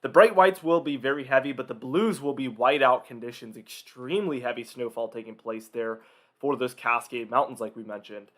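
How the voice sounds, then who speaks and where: Guy doing a casual weather forecast video, medium pitch, bit stuffy and hoarse from a cold. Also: normal speed, a bit bright. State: has a cold, slightly nasal or hoarse. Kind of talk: informal weather briefing commentary.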